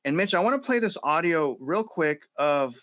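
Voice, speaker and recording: A man hosting an interview, talking in an animated, conversational way and using fillers. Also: a little high, normal speed. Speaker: host.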